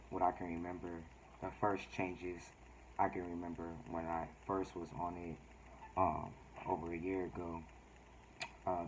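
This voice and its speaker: A man talking casually in a personal vlog update, with a mild, laid-back, halting delivery. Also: sits low, darkish tone, a little hiss or hum, low-quality recording. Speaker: vlogger.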